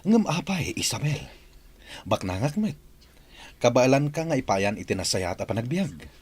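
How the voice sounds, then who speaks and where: Jealous rich boss in a radio drama, low really raspy voice, super swoopy delivery. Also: male voice, sing-song, normal speed, a little mellow. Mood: pleading, lovesick. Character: rich, jilted, jealous male suitor and hot-tempered boss. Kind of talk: Ilocano radio drama acting.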